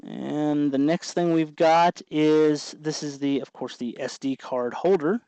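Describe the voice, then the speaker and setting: A man hosting an informal electronics tutorial, explaining things off the cuff in a halting way as he thinks aloud. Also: on the high side, steady tone, warm tone, clean tone, no echo, highs cut off. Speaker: host of an electronics tutorial show. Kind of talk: unscripted hands-on tutorial narration.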